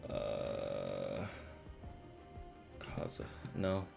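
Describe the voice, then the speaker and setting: Deep-voiced, gravelly male game streamer. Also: big pitch swings, very slow. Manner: profane, slangy, rambling. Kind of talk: casual game stream chat. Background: faint game music.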